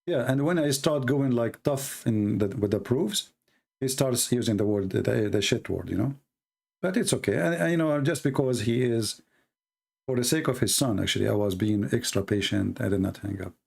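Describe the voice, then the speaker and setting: A man's voice: medium pitch, moderate pace, vocal fry, a little mellow, breathy edge. A man with an Arabic accent, a native Arabic speaker from the Middle East, hosting a talk show in blunt, mocking casual commentary with broken English grammar. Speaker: debate show host.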